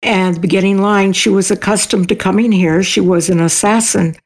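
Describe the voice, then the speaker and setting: An older woman speaking as an interview guest, casual, thoughtful and a little halting as she explains herself. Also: medium pitch, steady tone.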